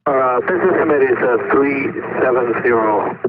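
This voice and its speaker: A man's voice: medium pitch, normal speed. Male pilot on tinny, noisy radio. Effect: cockpit radio transmission. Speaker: airline pilot. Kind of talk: radio reports to air traffic control. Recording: tinny narrow radio link, heavy static.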